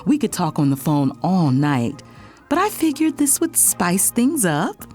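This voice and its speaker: A woman acts out a playful, sassy young woman in an audio romance, telling her story in the first person. Her voice sits a little low and swings with animation. Faint music plays behind her. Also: very animated, unhurried, darkish tone. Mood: smitten, confiding. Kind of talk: first-person audio drama narration and dialogue.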